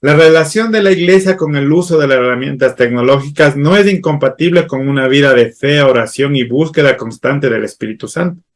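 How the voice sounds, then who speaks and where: A man moderating a church panel discussion, speaking courteously and halting often with fillers and restarts. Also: mid-range voice. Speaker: host.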